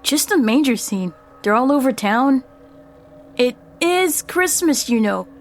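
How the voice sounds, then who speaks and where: Woman playing an earnest, high-voiced middle school boy. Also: lively intonation, measured pace, soft background music. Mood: uneasy. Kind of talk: audio drama dialogue.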